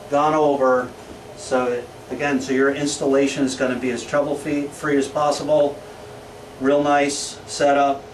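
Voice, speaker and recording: Male presenter, forties, slightly high voice, plain-spoken product pitch, picked up off-mic with some background noise. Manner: salesman-like, plain-spoken, listing specs in clipped phrases. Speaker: engine builder presenting a product video. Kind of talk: informal product walkthrough. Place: small indoor engine workshop. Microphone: camera microphone a few feet away. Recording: echoey small room, distant camera microphone, background noise.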